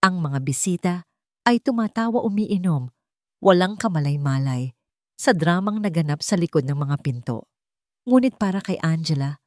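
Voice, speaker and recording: A woman's voice: deep voice, darkish tone, dry recording, studio quality. A woman giving a dramatic scripted narration of a romance audio story.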